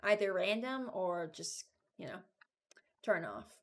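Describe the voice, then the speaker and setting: A woman giving informal, halting commentary as a video creator, thinking aloud while she ranks things. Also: medium pitch, slight background noise. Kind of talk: casual commentary, ranking game voice lines.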